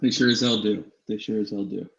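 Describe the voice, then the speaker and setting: A man's voice: lowish voice, fairly even intonation, fast talker, creaky, fairly bright tone. A man co-hosting a fantasy sports show in casual, informal chat, often repeating phrases for emphasis. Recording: slightly dull, echoey room sound.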